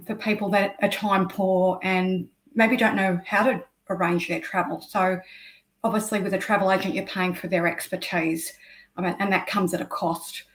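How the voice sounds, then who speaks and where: A middle-aged woman with an Australian accent, explaining at length as an interview guest, with many ums. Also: mid-range voice. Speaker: guest, travel blogger. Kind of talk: video call interview. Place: indoor room. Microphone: video call. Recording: echoey room over video call.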